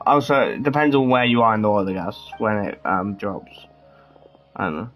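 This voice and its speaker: A man streaming a game and chatting casually, in a halting, trailing delivery. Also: lowish voice, expressive, lo-fi sound, soft background music. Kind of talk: casual chat while playing a game.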